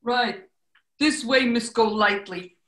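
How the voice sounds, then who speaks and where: A woman playing a courteous but shrewd male gangster henchman in a radio comedy, with deliberate tough talk. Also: on the high side, a bit bright, roomy sound. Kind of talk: 1940s radio comedy acting.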